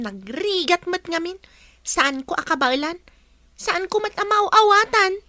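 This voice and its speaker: A woman's voice: extremely high pitch, lively intonation, slow pace, sharp tone, no room sound, heavily acted. An actress with a Filipino accent playing a whiny, envious schoolgirl in a radio drama. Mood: sulky. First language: Ilocano. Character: whiny, envious schoolgirl who shuns studying and chores.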